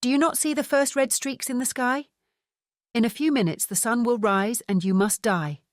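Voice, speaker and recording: A woman's voice: fairly high pitch, moderate pace, no room sound, clean studio sound, pitched above the performer's own voice, lightly acted. A British woman voicing a sorrowful young mermaid sister, pleading insistently in a dramatised fairy tale audiobook. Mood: desperate, pleading.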